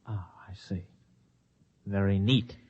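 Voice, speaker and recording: An actor playing a skeptical, independent space pilot in a 1950s radio drama, with a blunt, plain-spoken, hard-boiled delivery. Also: male voice, deep voice, steady tone, slow, darkish tone, husky, no room sound, exaggerated voice. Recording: dull lo-fi vintage radio recording.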